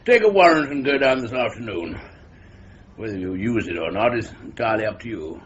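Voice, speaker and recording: Man playing a brisk no-nonsense police boss, dull radio sound with some hiss. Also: on the low side, lively intonation, close to an everyday voice. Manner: brisk and decisive. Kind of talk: 1950s-style radio drama acting. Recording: dull vintage radio recording, faint hiss.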